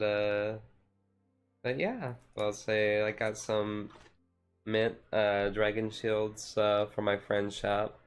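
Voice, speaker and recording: A man chatting casually on a chill livestream, rambling and halting as he goes. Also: fairly low pitch, smooth. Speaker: streamer.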